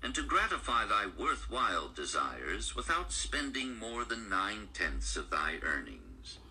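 A man reads an audiobook in formal, instructive style. His voice is very bright and forceful, with lively rises and falls over the archaic prose. Faint noise sits behind the played-back recording. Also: on the low side, measured pace, rough recording. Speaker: audiobook narrator. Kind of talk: scripted narration, reading archaic prose.

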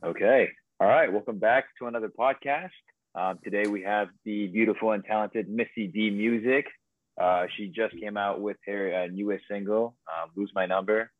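A man hosting a casual podcast, introducing a guest in a relaxed way with frequent ums. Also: lowish voice, normal speed, no room sound. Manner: relaxed, lots of ums and fillers. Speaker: podcast host. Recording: tinny phone-like dry audio.

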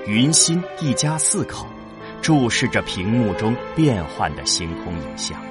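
A man reading scripted science fiction narration dramatically, with suspenseful pauses. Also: lowish voice. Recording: clear music bed behind. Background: music.

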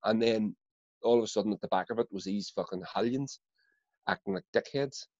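A man's voice: on the low side, brisk, darkish tone, no echo, dull audio. A man with a Northern Irish accent in casual chat, blunt and sweary, stumbling over repeated words as he gets going. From Northern Ireland.

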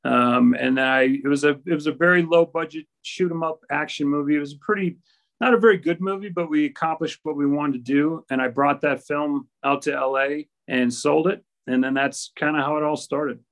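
A middle-aged man from the American Midwest chats as an interview guest. His voice is a little higher than most men's, and he rambles informally, looping back over his phrases. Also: steady tone, fairly quick, vocal fry, fairly bright tone. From US Midwest, grew up in Minnesota. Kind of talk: casual conversational recollection.

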